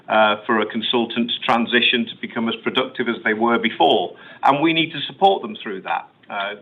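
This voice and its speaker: A male company executive answering questions on an earnings call in a measured, explanatory way. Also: mid-range voice. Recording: muffled phone-line conference call audio.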